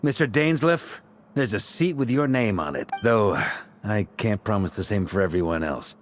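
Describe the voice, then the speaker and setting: An actor playing a genial, confident knight commander with a breezy, jovial manner in a video game. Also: male voice, lowish voice, expressive, moderate pace, slight background noise, close to an everyday voice. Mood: welcoming, breezy.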